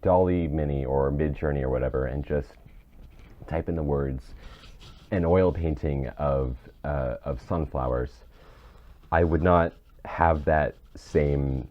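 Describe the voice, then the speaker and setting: Very deep, muffled, choked-up man. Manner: earnest, halting, searching for words. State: choked up, tearful. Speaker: podcast co-host. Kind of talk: casual, reflective discussion. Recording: muffled, dull microphone.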